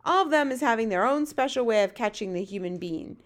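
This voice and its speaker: A woman voicing a gentle, earnest giant in a children's storybook, explaining things in quaint, muddled grammar. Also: on the high side, expressive, fairly quick, lightly acted.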